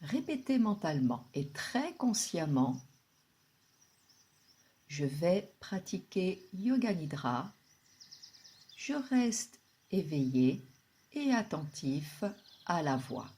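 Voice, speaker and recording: A woman guiding a deep relaxation meditation in a calm, soothing, unhurried way, with long pauses. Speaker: yoga nidra guide.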